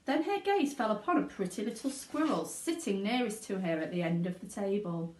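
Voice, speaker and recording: A woman narrating a children's storybook aloud in an expressive reading style. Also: medium pitch, a little fast, slight background noise. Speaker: teaching assistant reading aloud. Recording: echoey room.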